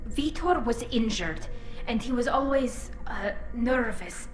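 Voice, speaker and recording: An actress playing a young alien squad leader who speaks in a firm, commanding way. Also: female voice, on the high side, expressive, takes it slowly, reverberant, soft background music.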